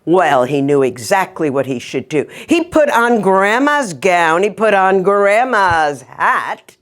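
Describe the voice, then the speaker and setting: A woman storyteller tells a children's fairy tale. Her pitch ranges widely and her delivery is unhurried, leaning dramatically on repeated words. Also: very animated. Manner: dramatic, with emphatic repetition.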